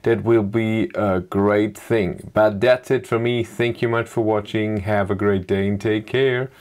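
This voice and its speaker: Middle-aged German-accented vlogger, talks loosely with many "uh"s. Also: male voice, fairly low pitch, fairly even intonation, measured pace, a little mellow. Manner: thinking aloud with fillers and restarts. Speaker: YouTube car reviewer. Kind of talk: casual commentary over slides. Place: small dim indoor room.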